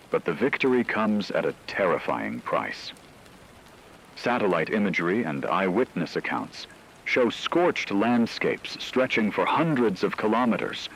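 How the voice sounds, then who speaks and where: A man's voice: low voice, slight background noise, poor audio. A man playing a grave radio news anchor, delivering a scripted disaster report in a solemn, formal, rhetorical manner.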